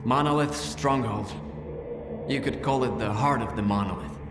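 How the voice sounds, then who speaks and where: An actor playing a weary, philosophical and loyal veteran guide, wounded, who talks earnestly and reflectively for a video game. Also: male voice, mid-range voice, normal speed, over loud music, natural voice. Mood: grim, urging.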